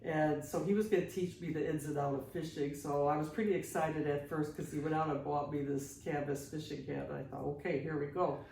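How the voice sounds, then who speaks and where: A woman's voice: deep voice, steady tone, brisk, vocal fry, few pauses, warm tone, a little hiss or hum. A woman in her sixties co-hosting a podcast, telling stories casually with a playful, teasing manner in a roomy recording made at some distance from the mic. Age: 60s. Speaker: podcast host. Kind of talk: casual conversational storytelling.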